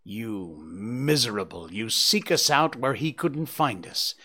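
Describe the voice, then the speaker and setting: A man's voice: mid-range voice, lively intonation, slow, bright, forceful tone, exaggerated voice. A man voicing a wounded, dying male fox in a storybook, imploring and bitterly reproachful. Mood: bitter contempt. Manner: imploring, lamenting, later snarling and hissing.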